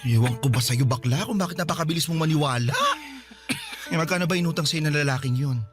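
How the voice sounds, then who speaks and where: A blunt, nagging best friend acted by a man in a radio drama, suspicious and scolding. The voice is fairly high and rattles along quickly over a music bed. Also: natural voice. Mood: incredulous, nagging. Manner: scolding, exclamatory. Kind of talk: Filipino radio drama acting. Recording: music bed under the dialogue.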